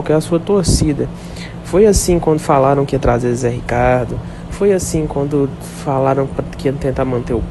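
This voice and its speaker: A man's voice: medium pitch, background noise. A man leaving a voice message as a fan, earnestly complaining. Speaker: caller.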